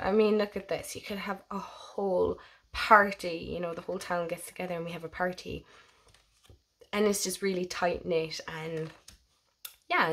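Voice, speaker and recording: A young woman with a hoarse voice from a head cold, chatting casually and gushingly as she shows her tarot decks on her own channel. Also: fairly low pitch, a bit bright, a little hiss or hum. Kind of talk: casual show-and-tell vlog.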